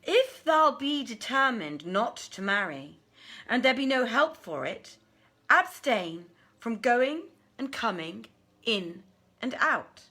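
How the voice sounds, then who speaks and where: A woman's voice: a little high, very animated, slow pace, bright, forceful tone, pitched above the performer's own voice, exaggerated voice. A woman voicing a stern royal father in a storybook, with a firm, commanding manner.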